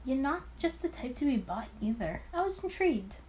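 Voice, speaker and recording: Girl doing a smug smooth-talking 20s gangster boss guy, game dialogue, higher voice, noisy mic. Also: sing-song, brisk, a little mellow. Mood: smug, explaining. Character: smooth-talking, arrogant male 1920s mafia boss.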